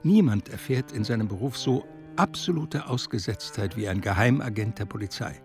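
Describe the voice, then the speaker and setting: An actor as a sombre, measured literary narrator in a German radio drama. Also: male voice, on the low side, faint music behind, close to an everyday voice.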